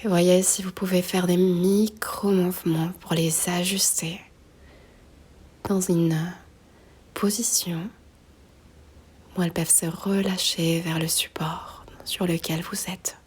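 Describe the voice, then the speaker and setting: A woman guiding a slow meditation in a soft, breathy near-whisper, gently giving unhurried instructions with long pauses. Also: on the low side, level tone. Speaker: yoga nidra guide.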